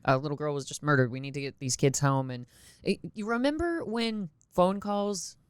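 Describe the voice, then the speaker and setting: A woman with a deep voice, chatting casually on a podcast and acting out quotes as she jokes. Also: normal speed, smooth, no echo. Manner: joking, animated storyteller who acts out quotes. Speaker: podcast co-host. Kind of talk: casual chat.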